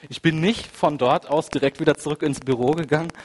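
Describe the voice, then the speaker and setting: A man with a cold preaching a sermon, conversational and full of asides, moving between quiet, reflective passages and emphatic, rising ones. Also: on the high side, steady tone, brisk. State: has a cold. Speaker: pastor. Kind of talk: sermon with personal anecdote.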